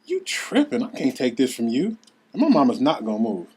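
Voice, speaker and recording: A man's voice: mid-range voice, wildly swooping pitch, a little fast, a little mellow, husky. Guy doing a streetwise young woman, slangy n casual, grateful, table read. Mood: disbelieving. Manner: slangy, informal.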